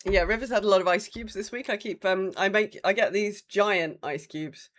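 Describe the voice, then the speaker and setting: A woman's voice: mid-range voice, normal speed. A British woman co-hosting a podcast with casual, wry, rambling chat. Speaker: podcast co-host.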